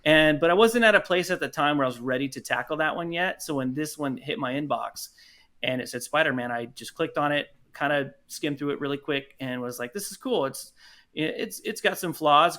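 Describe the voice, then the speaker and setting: A man's voice: mid-range voice, a little fast, pushed and bright. A man talking casually and enthusiastically as a podcast guest, telling stories in an informal way. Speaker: podcast guest, audio drama creator. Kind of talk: casual interview chat.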